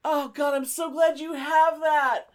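A woman's voice: high-pitched, steady tone, a little slow. A middle-aged woman co-hosting a podcast in casual, effusive, sweary chat with a friend. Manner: effusive, sweary, gasps and exclaims. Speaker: podcast co-host.

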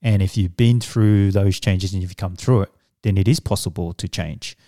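Casting: middle-aged samoan-born man, native samoan speaker, low mellow voice, dry close sound, measured and reflective. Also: steady tone, no room sound. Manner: measured, reflective, with fillers and restarts. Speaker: guest, business coach. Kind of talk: conversational interview answers.

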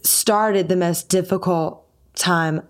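Animated woman singer telling a story. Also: fairly low pitch, slow pace. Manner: animated storytelling, acts out quoted dialogue. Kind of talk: casual conversation.

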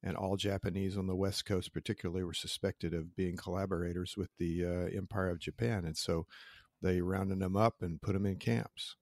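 Low gravelly-voiced man. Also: fairly even intonation, moderate pace, darkish tone, no echo. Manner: reflective storytelling with small restarts. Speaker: podcast co-host and interviewer. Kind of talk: casual conversation.

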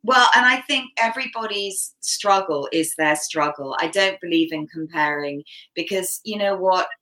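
Dark-voiced Canadian-born woman, low and bright. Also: moderate pace. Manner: open, reflective, laughs easily. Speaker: guest. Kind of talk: casual interview talk.

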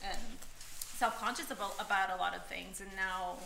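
A woman's voice: mid-range voice, normal speed, vocal fry, bright, forceful tone, reverberant, a little hiss or hum, low-quality recording. A young woman from Peru, a podcast guest, chatting casually with many fillers and frequent laughter.

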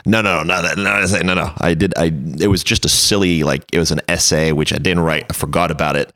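A man's voice: low voice, fairly even intonation, brisk, bright, forceful tone, no echo, studio quality. A man, chatting as an interview guest in a wordy, self-deprecating, anecdotal way. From France. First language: French. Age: 30s. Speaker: interview guest, creative director. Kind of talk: casual conversational interview.

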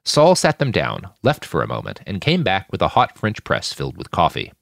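A man's voice: sits low, wildly swooping pitch, moderate pace, gravelly, a bit bright, no echo, studio quality. A man reading scripted fiction as an audiobook narrator.

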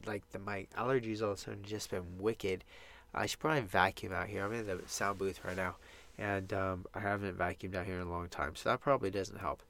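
A man's voice: deep voice, steady tone, brisk, vocal fry, a bit bright. A man with a congested, stuffy nose hosts a podcast alone, talking in a casual, upbeat, rambling way in a small sound booth. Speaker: podcast host. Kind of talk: casual solo podcast monologue.

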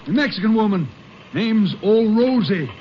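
An actor playing an obliging, chatty cotton gin boss with a folksy, hesitant manner in a 1950s radio western. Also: male voice, high voice, lively intonation, moderate pace. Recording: muffled, noisy old radio broadcast.

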